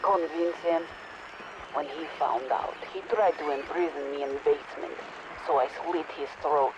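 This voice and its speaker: A woman's voice: low voice, moderate pace, natural voice. Ruthless female scientist played by an actress, scornful and matter-of-fact, lo-fi game audio log. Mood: cold, menacing. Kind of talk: dramatic game dialogue. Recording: dull, noisy radio-like log recording.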